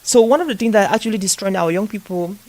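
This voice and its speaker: A man's voice: high-pitched, fast, a little mellow. A man with an African accent hosting a conversational interview, speaking earnestly and repeating phrases for emphasis. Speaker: podcast host.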